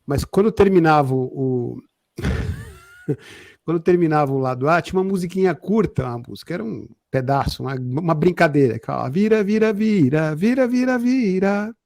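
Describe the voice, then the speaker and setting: A Brazilian man in his late fifties chatting informally as a podcast co-host, in a halting, anecdotal way. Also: fairly high pitch, animated, creaky, a little mellow, no echo, clean studio sound. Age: 50s, almost sixty. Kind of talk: casual chat, reminiscing.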